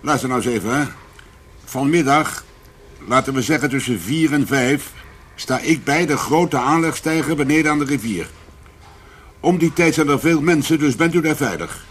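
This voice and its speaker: An actor playing a weary, conscientious police commissioner in a radio drama, with a probing, plain-spoken manner. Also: male voice, medium pitch, normal speed, slight background noise, natural voice. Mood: insistent.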